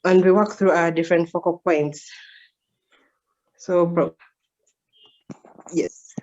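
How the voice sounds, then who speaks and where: A woman's voice: fairly low pitch, steady tone, slow pace, a little mellow. Young woman on muffled call audio. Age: 20s. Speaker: communications officer. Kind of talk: self-introduction on a video call. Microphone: video call.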